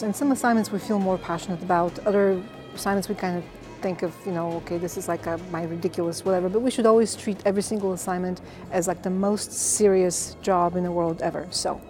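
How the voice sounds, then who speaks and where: A woman's voice: lowish voice, a little fast, hardly any pauses, faint music behind. A woman, a game composer telling her story with passion in an interview. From Bulgaria. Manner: passionate, lively storyteller with fillers. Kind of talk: casual interview answers.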